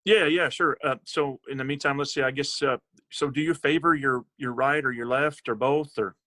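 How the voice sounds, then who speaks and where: A man's voice: medium pitch, fairly even intonation, moderate pace, sharp tone. A man hosting a podcast who asks friendly questions and stumbles over fillers and repeated words. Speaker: podcast host. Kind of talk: casual interview.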